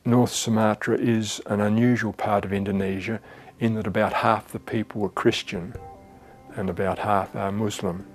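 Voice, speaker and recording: An older man speaks in a low, even, matter-of-fact way about volunteer work overseas. His pitch barely moves. Soft music sits underneath. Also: moderate pace. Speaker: church volunteer. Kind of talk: interview testimony.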